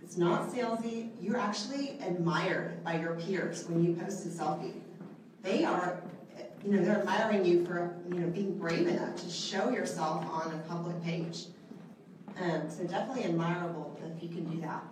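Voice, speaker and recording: A woman with a soft, slightly low voice teaches a small group in a very echoey room, her voice picked up from a distance on a handheld mic. She keeps it casual and chatty, checking in with listeners often, and faint room noise sits underneath. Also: normal speed. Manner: chatty, asks the group questions, many okays and rights. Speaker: trainer teaching a selfie workshop. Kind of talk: live instructional talk to a group. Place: indoor room with a large window, small audience present. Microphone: handheld microphone.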